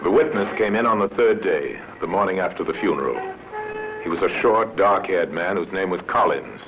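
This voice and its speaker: A man giving terse, deliberate, dramatic scripted narration in the style of old radio. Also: low voice, expressive, music in the background. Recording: muffled old radio recording with noise.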